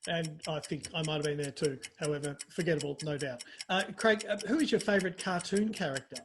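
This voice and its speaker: A man hosting a quick-fire comic interview in a teasing, mock-formal, playful way. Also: on the high side, a little hiss or hum. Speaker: interview host.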